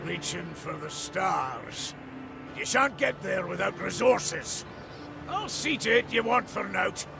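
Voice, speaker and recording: Fantasy game dwarf elder: gruff, blunt, Scottish, slow-spoken, thick gravel in the voice. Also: male voice, high-pitched, big pitch swings, over music, full character voice. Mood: gruff, grudging. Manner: blunt, folksy, bossy. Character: gruff, stubborn old dwarf elder of a mountain clan. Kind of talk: dramatic video game voice acting.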